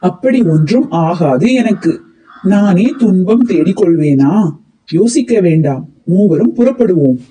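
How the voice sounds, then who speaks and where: A man's voice: high-pitched, lively intonation, a little slow, warm tone, rough recording. A man voicing an earnest, overconfident turtle in a children's moral story, speaking in an emphatic, theatrical way. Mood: dismissive. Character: earnest, stubborn male turtle, overconfident and pleading.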